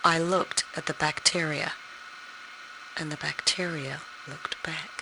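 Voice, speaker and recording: A woman acting as a grave biologist dictating a measured, brooding lab log on a noisy recorder in a video game. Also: low voice, steady tone, gravelly, exaggerated voice. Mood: haunted, ominous.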